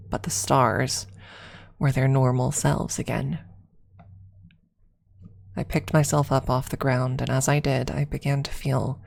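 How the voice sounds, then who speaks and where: A woman reading a first-person story aloud as ASMR, softly and deliberately, with dramatic pauses and some near-whispered phrasing. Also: very deep voice, gravelly.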